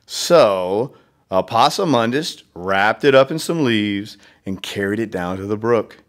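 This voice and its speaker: A man's voice: lowish voice, animated, a little slow. A man reading a children's storybook expressively, with conversational asides to young listeners. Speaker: read-aloud storyteller.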